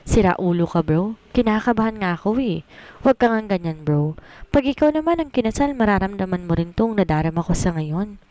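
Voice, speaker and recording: A woman voicing an excited, nervous young groom who banters casually with bits of English in a Filipino romance audio story. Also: medium pitch, brisk, no echo, natural voice.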